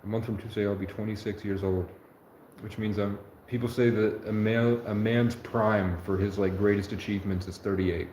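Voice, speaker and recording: A man's voice: on the low side, steady tone, normal speed, a little mellow. A man in his twenties rambling earnestly on a live stream, often stopping to correct himself. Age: 20s. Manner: meandering, self-correcting, earnest. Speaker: livestreamer. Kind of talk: rambling livestream monologue. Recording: echoey room with faint noise.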